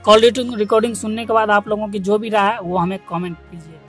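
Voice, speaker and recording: A man's voice: high-pitched, level tone, fast. A man hosting an online channel, giving viewers an informational update in a repetitive, instructional way. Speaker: YouTube channel host. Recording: music under the voice. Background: background music.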